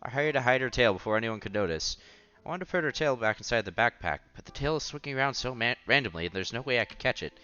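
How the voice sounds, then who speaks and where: A man's voice: mid-range voice, expressive, quick pace, few pauses, clear, resonant tone, dry recording, lo-fi sound. A man reading a visual novel aloud on a headset mic, acting out the dialogue and adding offhand comments in a casual way. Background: soft music.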